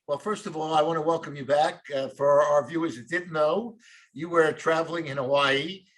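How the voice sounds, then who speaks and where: A man's voice: fairly high pitch, fairly even intonation, normal speed, low-quality recording. A middle-aged man with a New York accent, co-hosting and telling a long rambling story full of fillers. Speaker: co-host. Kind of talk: casual chat.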